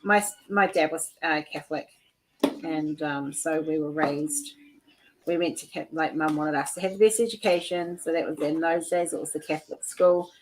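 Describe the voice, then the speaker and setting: A woman's voice: low-pitched, moderate pace, a little hiss or hum, rough recording. A woman hosting a casual livestream chat, telling stories in a loose, rambling way over a video call. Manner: chatty, storytelling, frequent "like" and restarts. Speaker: livestream host.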